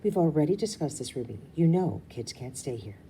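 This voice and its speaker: A woman voicing a firm, exasperated mother who scolds with drawn-out emphasis in a storybook reading. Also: deep voice, sing-song, warm tone, a little hiss or hum. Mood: scolding.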